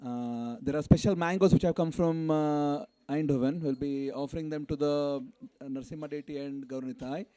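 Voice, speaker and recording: A man's voice: on the high side, fairly even intonation, fairly quick, hardly any pauses, dark tone, clear, resonant tone, no room sound. A man making informal announcements to a gathering of devotees as the event's announcer.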